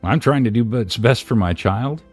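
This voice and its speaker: A man's voice: mid-range voice, lively intonation, moderate pace, darkish tone, soft background music. A man giving a sarcastic, theatrical reading of a mocking open letter as a live stream commentator.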